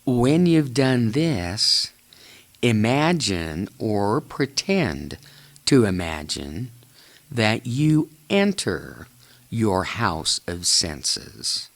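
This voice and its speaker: Male meditation narrator, extremely slow delivery, widely varying intonation, measured short phrases, long pauses. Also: lowish voice, very animated. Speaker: guided imagery narrator.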